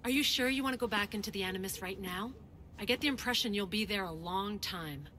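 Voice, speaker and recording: An actress playing a concerned, sceptical woman doctor in a video game, measured and questioning. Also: medium pitch, pushed and bright, slight background noise, lightly acted. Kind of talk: video game cutscene acting.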